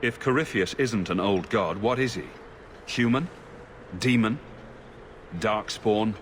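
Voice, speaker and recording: Low-voiced, direct heroic adventurer. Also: male voice, slow pace, a little hiss or hum. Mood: questioning. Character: resolute heroic male adventurer, questioning and direct. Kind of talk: video game voice acting.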